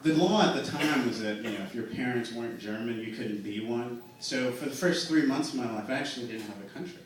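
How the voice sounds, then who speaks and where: A young man in his twenties doing a stand-up routine in a comedy club, telling self-mocking stories in a slow, dry way. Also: medium pitch, a little hiss or hum. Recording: echoey club room miked from a distance.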